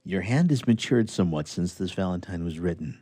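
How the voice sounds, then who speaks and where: A man playing a grave, courteous young doctor in a sentimental radio theatre story, speaking in a measured, earnest way. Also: sits low, expressive, warm tone. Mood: earnest, tender.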